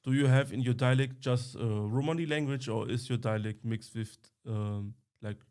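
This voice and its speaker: A man hosting a casual interview, asking questions in simple, halting English. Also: medium pitch, fairly even intonation, darkish tone, smooth. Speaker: podcast host, interviewer.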